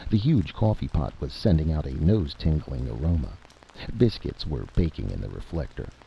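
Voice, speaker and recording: Male story narrator, very low gravelly voice, muffled recording with slight hiss. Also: expressive, normal speed. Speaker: narrator reading a short story. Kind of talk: scripted narration of fiction.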